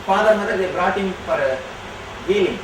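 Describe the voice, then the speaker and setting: High-voiced man teaching in an echoey hall. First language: Tamil. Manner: slow, emphatic and declarative. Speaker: spiritual teacher. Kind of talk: answering questions from an audience. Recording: echoey hall from far off, noisy.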